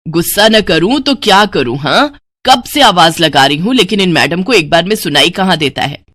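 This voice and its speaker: A woman's voice: on the low side, animated, moderate pace, a bit bright, dry recording. A woman playing a grumbling, sarcastic middle-aged mother in an audio story. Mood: annoyed, scolding. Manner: scolding, sarcastic.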